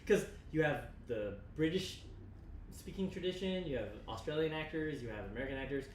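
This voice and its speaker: A man chatting casually as co-host of a livestream, rambling excitably and often repeating his phrases. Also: fairly high pitch, moderate pace, recorded from a distance. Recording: roomy echo, faint background noise.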